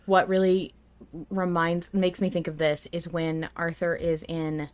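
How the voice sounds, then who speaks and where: Low-voiced woman chatting on a podcast. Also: flat delivery, measured pace. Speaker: podcast co-host. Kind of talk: casual fan podcast chat.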